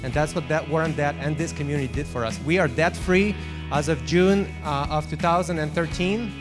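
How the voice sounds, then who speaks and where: A man from Ukraine with a Ukrainian accent, sharing a personal testimonial to a group in a halting, emphatic way. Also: a little high, music in the background. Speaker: business owner giving a testimonial.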